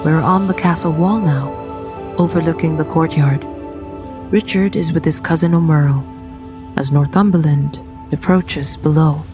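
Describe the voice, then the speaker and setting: A woman's voice: low-pitched, normal speed, music in the background. A woman narrating a radio play, setting the scene in scripted present-tense description.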